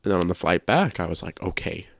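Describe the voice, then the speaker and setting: A man with a creaky voice co-hosting a casual video game podcast, chatting conversationally. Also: animated, fast talker, dry recording. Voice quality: low creaky voice. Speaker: podcast co-host. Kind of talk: casual podcast chat about video games.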